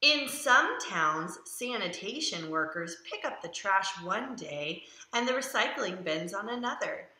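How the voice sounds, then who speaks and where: A woman's voice: mid-range voice, lively intonation, measured pace, few pauses, bright, forceful tone. A woman voicing an eager, curious family dog who narrates a children's picture book with simple, emphatic delivery. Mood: curious, explaining. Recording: roomy echo around the voice.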